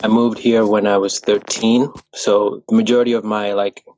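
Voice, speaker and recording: A man's voice: lowish voice, level tone, moderate pace, darkish tone, no room sound, poor audio. A young man from Kenya, a running coach, talking casually on a video call with an easygoing, rambling manner.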